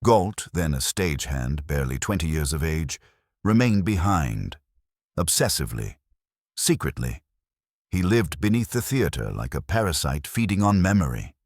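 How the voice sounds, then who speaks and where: A man voicing a commanding male detective, formal, measured and deliberate, laying out a case in a dramatised detective audiobook. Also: a bass voice, very animated, a little mellow, no echo, clean studio sound, full character voice. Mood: measured, confident.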